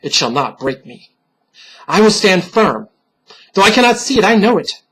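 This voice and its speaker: Man voicing a husky, haunted, defiant wicked man. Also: sits high, very animated, normal speed, fairly bright tone, full character voice, rough recording. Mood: bitter defiance. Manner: dramatic, tense muttering and outbursts. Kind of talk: dramatic storybook horror reading.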